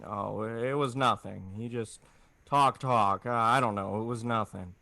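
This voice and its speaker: A man's voice: lowish voice, measured pace, gravelly, bright, forceful tone, no echo, full character voice. A man playing an earnest, flustered small-town family man in a 1940s radio drama, stammering in a folksy way. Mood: dejected.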